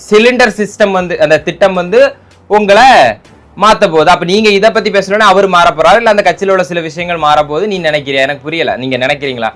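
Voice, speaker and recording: Rapid-fire man doing political commentary. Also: sits high, a bit bright. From Tamil Nadu, India. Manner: argumentative, mocking, peppered with rhetorical questions. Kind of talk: casual political roast commentary.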